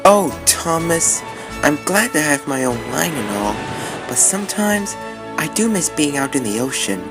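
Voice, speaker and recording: A man's voice: fairly high pitch, sing-song, a little slow, music in the background. A man playing an earnest, wistful little sailboat in an amateur audio drama, speaking expressively and politely.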